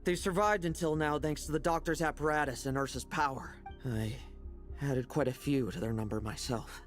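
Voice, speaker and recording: A man's voice: on the high side, fairly even intonation, moderate pace, lightly acted. A man playing a cool, brooding young knight vice captain in a video game, with a clipped, sardonic manner. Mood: matter-of-fact. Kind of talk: dramatic game voice acting. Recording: music behind the voice.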